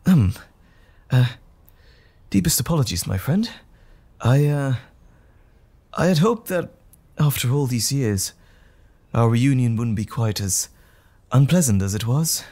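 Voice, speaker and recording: Man acting a guilt-ridden polite teacher, breathy husky voice, lively intonation, halting, earnest, audio drama. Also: mid-range voice. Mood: awkward, apologetic. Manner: hesitant, earnest, formal and polite.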